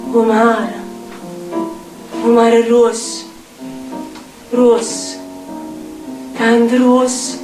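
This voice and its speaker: An actress playing a tormented street outcast in a theatrical monologue, speaking incantatory, repetitive lines with a Neapolitan accent. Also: female voice, fairly high pitch. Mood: desolate, searching. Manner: incantatory, dramatic, repetitive phrasing. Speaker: stage actress. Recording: echoey distant stage sound with music. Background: plucked guitar music.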